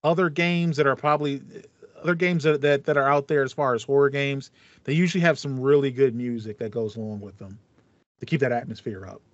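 A man's voice: mid-range voice, steady tone, fairly quick, no room sound. Man chatting, slightly muffled dry sound. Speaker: podcast host. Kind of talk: casual chat. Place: small home room with foam panels, indoors. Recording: dull, lo-fi voice call sound.

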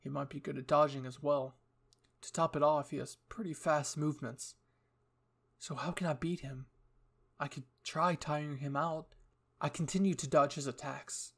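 A man's voice: a little high, steady tone, moderate pace, vocal fry, fairly bright tone. A young man reading scripted material as the narrator and host of a story channel.

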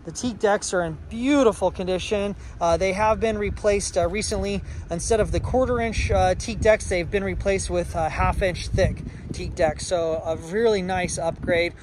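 A middle-aged man, a yacht broker, walks through a sailboat and lists its features in a relaxed way, with lots of "uh" fillers. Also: sits high, normal speed, hardly any pauses, slight background noise. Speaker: yacht broker giving a walkthrough tour. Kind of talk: casual walkthrough narration. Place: aboard a sailboat in a marina, on deck and below. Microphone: handheld camera, first-person view.

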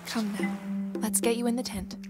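A woman playing a proud but gentle young Regency lady in a period audio drama, speaking with earnest care. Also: a little high, level tone, normal speed, over loud music, lightly acted. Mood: gentle concern.

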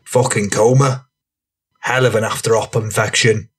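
A man's voice: fairly low pitch, steady tone, moderate pace, husky. A man voicing a scruffy, raging, boastful ex-con lout who rants in sweary slang for an audio story. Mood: gloating.

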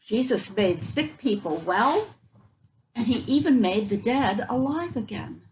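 A woman's voice: fairly high pitch, lively intonation, unhurried, a little mellow, a little hiss or hum, poor audio. An older woman reading a picture book aloud to children outdoors, explaining it in simple words as she goes. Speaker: children's story reader. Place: outdoors on a front porch. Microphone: camera a few feet away.

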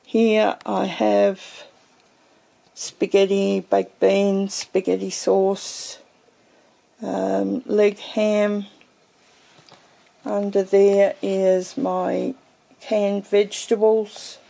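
Woman vlogger, slow and gravelly, mellow tone; casual home pantry tour, filmed handheld. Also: mid-range voice, flat delivery. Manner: slow, meandering, thinks aloud with fillers. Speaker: homesteading vlogger.

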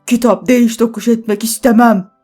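A woman's voice: a little high, steady tone, fairly quick, mellow, soft background music, lightly acted. A woman voicing a big, grumpy male bear in a children's story, curt and irritable, with growling outbursts. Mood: irritated, gruff. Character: big grumpy male bear who wants to be left alone.

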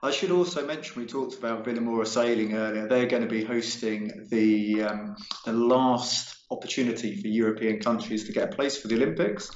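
A man's voice: medium pitch, fairly quick, hardly any pauses, slight background noise. Older guy chatting upbeat on a video call, echoey room, kinda dull audio. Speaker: show co-host. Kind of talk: casual video-call chat.

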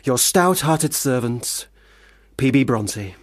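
An actor in a period radio drama playing a boastful but fragile young failed poet, husky, halting and formally earnest. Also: male voice, medium pitch, expressive. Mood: grandiose.

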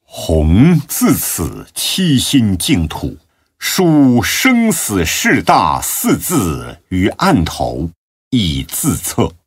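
A male narrator recites in a deep, husky voice with very wide swings of intonation. His solemn scripted delivery is measured and dramatic, extremely slow, each phrase set apart. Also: huge pitch swings, dry recording. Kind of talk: solemn scripted narration of classical religious biography.

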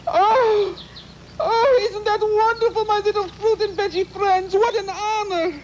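A woman voicing a flustered, excitable puppet character who stammers and fusses. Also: unhurried, lo-fi sound, a little hiss or hum. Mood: delighted. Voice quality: very high and squeaky. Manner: stammering, fussy.

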